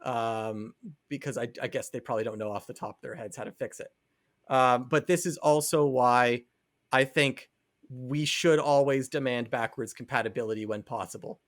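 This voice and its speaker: A man's voice: medium pitch, steady tone, normal speed. Male podcast co-host, mid-range voice, casual, explanatory, opinionated. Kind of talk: casual discussion of video games.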